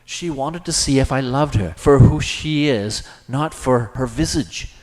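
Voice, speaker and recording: Man acting as earnest young gentleman, lovesick, naive, unhurried, dramatic, pitched well up from the reader's own voice, storybook dialogue. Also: big pitch swings, low-quality recording. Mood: dawning conviction. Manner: old-fashioned, theatrical, emotional phrasing. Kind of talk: audiobook character dialogue.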